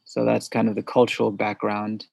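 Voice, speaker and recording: A man from suburban New York, a podcast guest who answers thoughtfully with hesitant pauses. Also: lowish voice, completely flat delivery, gravelly, a little mellow, breathy edge, no room sound. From suburbs of New York City. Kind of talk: interview conversation.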